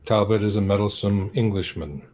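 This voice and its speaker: A man's voice: lowish voice, brisk, darkish tone, lightly acted. A man voicing an irritable, proud older nobleman who speaks in a curt, scornful way.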